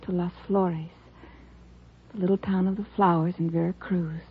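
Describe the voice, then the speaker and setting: A woman playing a frail, devoted young dying wife, soft and wistful, in 1950s radio drama style. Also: on the low side, little pitch movement, moderate pace, a little hiss or hum, lightly acted. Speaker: patient character. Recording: tinny old radio recording with music.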